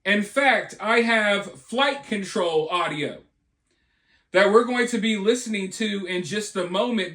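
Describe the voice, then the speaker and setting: Male online news commentator, high-pitched, emphatic delivery with dramatic phrasing, recorded in an echoey room. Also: a bit bright. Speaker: host of a news commentary video channel.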